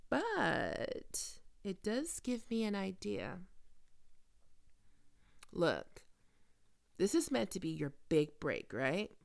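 A woman's voice: medium pitch, huge pitch swings, drawn-out words, gravelly, long pauses, exaggerated voice. A woman playing a famous, cocky and flirty actress in an intimate, teasing, confiding ASMR roleplay. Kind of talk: intimate ASMR audio roleplay, one-sided conversation.

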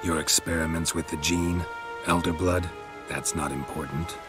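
An actor playing a gruff, stoic, sardonic monster hunter in a video game, with a low gravelly voice and clipped deadpan delivery. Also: male voice, moderate pace, music in the background, close to an everyday voice. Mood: dry, probing.

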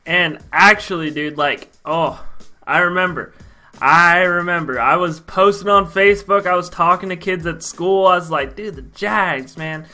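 Bright, high-pitched young American man. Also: unhurried. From Idaho, United States. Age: young adult. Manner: animated, slangy, emphatic fan talk. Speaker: YouTube vlogger. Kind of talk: casual storytelling to camera. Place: small bedroom, indoors.

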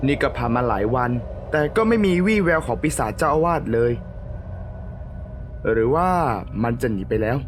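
A man playing a cautious, concerned senior monk in an animated horror drama, speaking in a measured, deliberate way. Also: medium pitch, background noise, close to an everyday voice. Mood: wary, puzzled.

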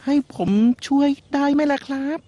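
A woman voicing a shy, gentle young man in a dubbed cartoon, polite and hesitant, often stammering. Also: moderate pace, highs cut off, slight background noise, natural voice. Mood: kind. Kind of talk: Thai dub of a Japanese cartoon. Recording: music bed behind dialogue.

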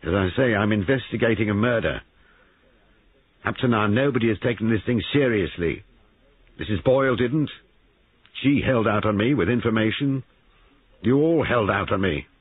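A man playing a forceful, grave police sergeant with insistent, clipped questioning in an audio story, on a narrow phone-like recording. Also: on the low side, moderate pace, pauses often, breathy edge, no echo, voice pushed up. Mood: urgent, pressing. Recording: narrow-band, phone-like radio sound.

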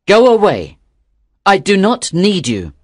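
A woman voicing a defiant, demanding young fisherman in a storybook, with a forceful, emphatic delivery. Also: very deep voice, very animated, takes it slowly, breathy edge, no echo, studio quality, voice pushed down, full character voice. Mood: defiant, dismissive. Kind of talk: dramatic storybook character reading.

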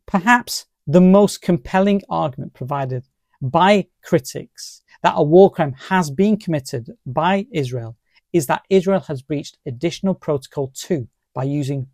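Mellow, dry-sounding man explaining formally. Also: a little high, no echo. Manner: formal, deliberate, emphatic on key terms. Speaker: presenter of legal explainer. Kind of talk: scripted explanatory narration.

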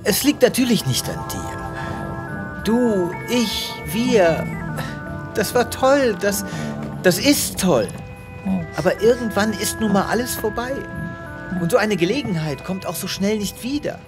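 Fed up space vet in a radio play, dramatic n muttery, real slow, music behind. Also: male voice, sits high, expressive. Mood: wistful. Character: exasperated, weary male space veterinarian. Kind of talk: German audio drama acting.

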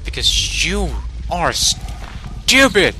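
A man's voice: sits high, sing-song, drawn-out words, noisy background. A young man with a Swedish accent, commentating his gameplay in a crude, swearing rant. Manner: crude, angry ranting with swearing. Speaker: let's play gamer.

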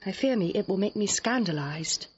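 A woman's voice: medium pitch, expressive, moderate pace, darkish tone, lo-fi sound, slight background noise, natural voice. An actress playing a headstrong, playful young noblewoman in love, speaking Shakespearean verse with ardent lyricism in an audio drama. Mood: earnest, devoted.

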